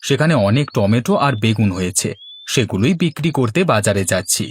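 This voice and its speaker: A man's voice: fairly low pitch, expressive, a little fast, breathy edge. A man playing a good-natured, easygoing village fisherman and husband in a Bengali moral-story cartoon. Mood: easygoing, friendly.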